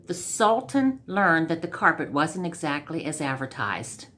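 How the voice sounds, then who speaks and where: A woman's voice: deep voice, very animated, unhurried, a bit bright. A woman storyteller reading a scripted comic tale aloud.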